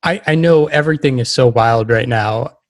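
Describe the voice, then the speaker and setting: A man's voice: mid-range voice, moderate pace, no room sound. A man speaking as a podcast host in a casual, conversational interview style.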